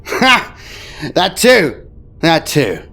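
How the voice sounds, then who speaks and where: A man's voice: on the high side, huge pitch swings, extremely slow, creaky, sharp tone, pitched above the performer's own voice, exaggerated voice. A man voicing a shrewd veteran fleet admiral in an audio war story, with clipped, declamatory military phrasing. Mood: animated, confident. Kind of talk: dramatic audio story character. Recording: music behind the voice. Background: music.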